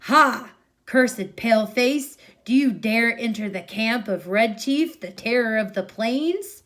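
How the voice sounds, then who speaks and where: A woman voicing a boisterous ten-year-old boy from a storybook, full of excitable, rambling chatter. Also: a little high, takes it slowly. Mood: fierce boasting. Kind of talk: storybook character reading.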